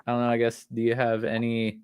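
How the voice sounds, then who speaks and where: A man's voice: mid-range voice, completely flat delivery, fast, darkish tone, smooth, no echo, clean studio sound. A man in his thirties co-hosting a podcast, chatting casually and rambling with lots of fillers. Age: 30s. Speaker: podcast co-host. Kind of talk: casual chat.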